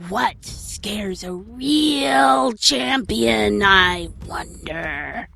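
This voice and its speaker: Gravelly, drawling nightmare demoness. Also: female voice, medium pitch, lively intonation, sharp tone, heavily acted. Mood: taunting. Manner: slow, drawn-out, menacing delivery. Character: menacing nightmare demoness with burned face, possessive and taunting. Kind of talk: audio drama acting.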